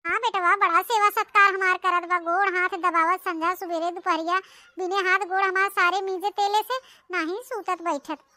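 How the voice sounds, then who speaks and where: A garrulous old village mother-in-law, with an Awadhi accent. She rambles and exclaims in an extremely high, piercing cartoon voice. The sound is dry. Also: steady tone, normal speed, sharp tone, no room sound. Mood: delighted, praising. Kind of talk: cartoon comedy dialogue.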